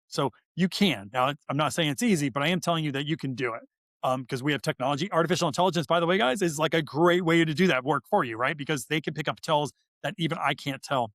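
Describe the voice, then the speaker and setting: A man's voice: a little high, a bit bright, no echo, clean studio sound. A man speaking as a guest expert on a podcast, explaining things conversationally in an emphatic, informal way and repeating words for stress. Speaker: guest expert, author and behavioral scientist.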